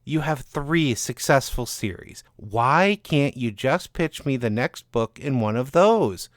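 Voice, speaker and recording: A man voicing a frustrated literary agent in an audio story, measured and persuasive, with firm, worn patience. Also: mid-range voice, expressive, no echo, clean studio sound. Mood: exasperated.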